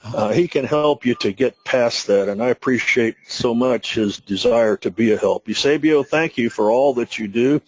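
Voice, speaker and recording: A man's voice: medium pitch, normal speed, few pauses, darkish tone, rough recording. A man hosting a webinar, earnestly introducing and thanking the guest speaker. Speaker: webinar host.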